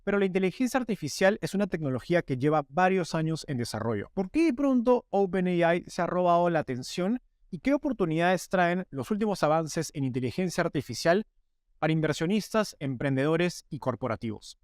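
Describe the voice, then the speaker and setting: A man's voice: a little high, very animated, a little fast, no echo, studio quality. A man hosting an interview podcast, presenting and asking questions. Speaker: podcast host. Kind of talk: scripted narration and podcast interviewing.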